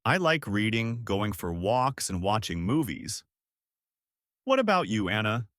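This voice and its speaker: A man co-hosting a podcast English lesson, explaining example phrases in a clear, upbeat way. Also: fairly low pitch, sing-song, unhurried, a bit bright, no room sound, clean studio sound. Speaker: podcast co-host and English teacher. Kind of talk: scripted English lesson dialogue.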